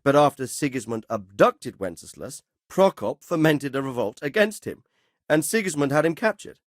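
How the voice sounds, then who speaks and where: A man playing a measured, fatherly but stern middle-aged medieval lord in a video game, speaking formally and with authority. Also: on the high side, very animated, no room sound, clean studio sound. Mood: measured, explaining. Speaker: lord giving orders and counsel. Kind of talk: dramatic video game dialogue.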